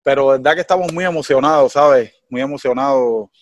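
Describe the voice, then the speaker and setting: Cuban guy chatting on a podcast, lively, tons of "you know", dry mic. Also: medium pitch, brisk, dry recording, lo-fi sound. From Cuba. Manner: animated, heavy fillers like "tú sabes" and "¿me entiendes?". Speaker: guest singer. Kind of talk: casual interview chat.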